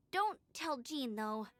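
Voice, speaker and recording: A woman's voice: high voice, big pitch swings, drawn-out words, a bit bright, full character voice. A woman playing a perky, earnest young knight scout in a video game, talking in an eager, informal way. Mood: chatty, candid.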